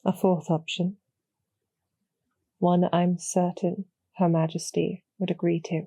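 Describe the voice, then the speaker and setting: A woman playing a calm, courteous female demon general in a roleplay audio, speaking softly with measured, gently formal persuasion and a husky, creaky edge. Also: on the low side, no echo. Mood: calm, persuasive. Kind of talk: slow, soothing scripted roleplay audio for sleep.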